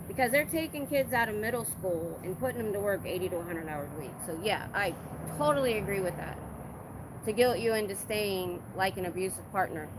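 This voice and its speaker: A woman livestreaming from a city street, chatting casually and reading viewer comments, getting animated and emphatic when indignant. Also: mid-range voice, brisk. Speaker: livestreaming protester. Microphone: phone held in hand. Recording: phone recording outdoors with street noise. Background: traffic and street noise.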